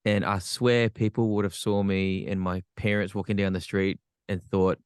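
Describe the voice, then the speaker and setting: A man's voice: low voice, flat delivery, moderate pace, clear, resonant tone, dry recording, studio quality. An Australian man, a podcast guest, telling his life story frankly with self-deprecating humor. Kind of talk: candid personal storytelling.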